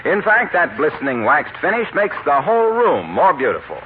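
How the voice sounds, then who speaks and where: A man's voice: on the high side, huge pitch swings, moderate pace, background noise. A man with an American accent announcing in polished, emphatic 1940s radio style. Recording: tinny band-limited old radio recording, music behind. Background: music.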